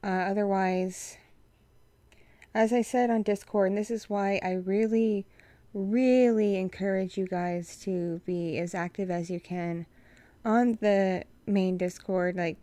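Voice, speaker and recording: Young woman, froggy hoarse voice, gravelly fry, smooth underneath, rambly chatty update. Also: lowish voice, darkish tone, dry recording. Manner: rambling, frank, many fillers. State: hoarse, froggy from a respiratory infection. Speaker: content creator addressing patrons. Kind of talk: casual update to supporters.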